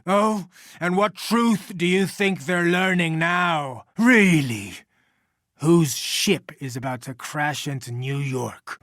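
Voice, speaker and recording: A mutated genius villain from a video game, a middle-aged megalomaniac set on revenge. His voice sits fairly high for a man and is theatrical, full of menace and scorn. The sound is very dry, with no room on it. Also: pushed and bright, no room sound, heavily acted. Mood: mocking. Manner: menacing, grandiose, contemptuous, theatrical. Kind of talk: dramatic video game voice acting.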